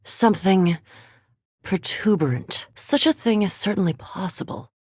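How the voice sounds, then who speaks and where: Erudite vampire scholar, female, fantasy game. Low, breathy-edged voice, precise formal delivery, cool curiosity, close dry recording. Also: measured pace, no room sound. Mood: dry, analytical. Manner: formal, precise, dryly intellectual. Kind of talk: scripted fantasy game dialogue.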